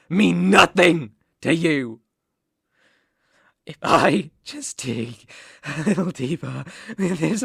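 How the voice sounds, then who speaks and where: A gravelly British mafia boss, acted by a man for an ASMR roleplay, close to the mic in a very dry space. He is possessive and unstable, speaking with brooding, condescending affection and a creaky edge. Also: a little high, no room sound, heavily acted. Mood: bitter, hurt. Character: possessive, unstable male mafia boss, menacingly tender ex-lover. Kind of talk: ASMR roleplay, dramatic monologue.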